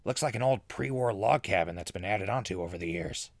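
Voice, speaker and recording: A man playing an easygoing ghoul radio host in a post-apocalyptic audio drama, laid-back and conversational with a gravelly voice. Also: lowish voice, brisk, no echo, studio quality, natural voice. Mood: relaxed. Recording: music bed under the voice.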